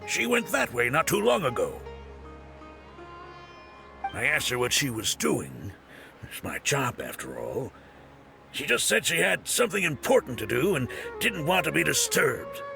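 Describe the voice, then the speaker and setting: Game NPC: watchful guard, creaky voice with lively pitch, music behind. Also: male voice, measured pace, long pauses, heavily acted. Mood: dutiful, informative. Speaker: video game character voice. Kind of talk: scripted game dialogue.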